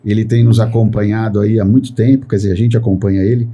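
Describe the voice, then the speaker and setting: A man hosts a podcast in a loose, rambling way, tagging nearly every phrase with a filler question. His voice is low and dark, with a husky, rough grain. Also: little pitch movement, fast. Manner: rambling, constant "né" tag fillers. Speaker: podcast host. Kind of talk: podcast conversation.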